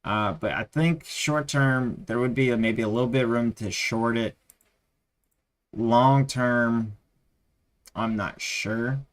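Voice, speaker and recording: A man's voice: medium pitch, slow pace. A tired man losing his voice, rambling with many fillers as he chats casually on a live trading stream.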